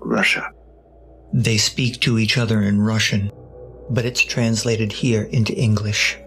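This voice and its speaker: A man narrates a dark audio drama in a low, gravelly voice. His delivery is wry and suspenseful, with music playing behind him. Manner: wry, suspenseful, drawn-out phrasing.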